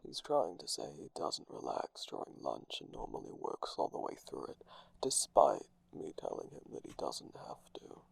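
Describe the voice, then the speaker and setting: A low, raspy whispering bodiless spirit. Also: huge pitch swings, moderate pace, a little mellow, dry recording, exaggerated voice, poor audio. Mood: dryly teasing.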